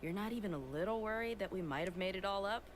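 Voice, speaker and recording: An actress playing a composed, mysterious young woman in a video game, speaking in a calm, guarded way. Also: mid-range voice, fast talker, natural voice. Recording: game audio with clear background hiss.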